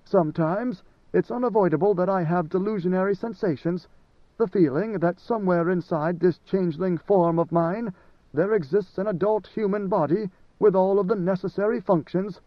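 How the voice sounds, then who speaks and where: A man's voice: high voice, moderate pace, dry recording, voice pushed up, lightly acted. A man voicing an anguished ancient God Emperor. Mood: brooding. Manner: anguished, commanding. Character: ancient brooding male God Emperor, tormented. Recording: dry, dull lo-fi sound.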